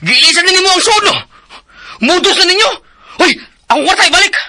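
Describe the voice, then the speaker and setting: Actor playing an angry customer, very high sharp voice, dry sound, shouting, radio drama. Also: male voice, expressive, fast, no room sound, exaggerated voice. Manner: shouting, accusing. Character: hot-tempered male customer, accusing and violent.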